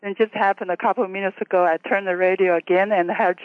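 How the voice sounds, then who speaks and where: A woman from China and Taiwan, calling into a radio show and speaking haltingly with many fillers. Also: mid-range voice, fairly even intonation, no room sound. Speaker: caller. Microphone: telephone. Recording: tinny phone line.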